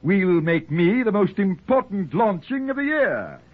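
Genteel, jaunty old professor, high-pitched. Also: male voice, big pitch swings, unhurried, breathy edge, faint music behind, exaggerated voice. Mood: grandly wry. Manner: suave, theatrical, playful. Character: genteel, jaunty older professor father, unflappable and wry. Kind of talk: 1940s radio acting. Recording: tinny, hissy 1940s radio recording.

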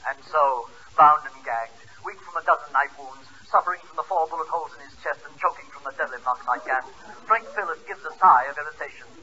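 Piercing, rapid serial announcer. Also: male voice, on the high side, sharp tone. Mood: melodramatic. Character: melodramatic male radio serial announcer. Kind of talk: 1940s adventure serial narration parody. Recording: tinny, muffled 1940s radio, faint noise.

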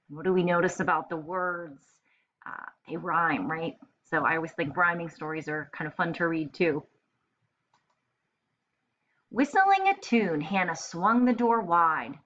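Young female primary teacher, bright and forceful tone, casual read-aloud for little kids, slightly dull webcam sound. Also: on the low side, expressive, unhurried. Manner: addresses children directly, casual. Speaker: elementary school teacher. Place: indoor room, webcam screencast.

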